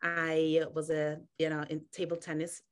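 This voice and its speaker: Woman, raised in Austria, German native speaker, low voice, relaxed interview chat. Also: normal speed, smooth. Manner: warm storytelling with frequent laughs. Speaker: podcast guest.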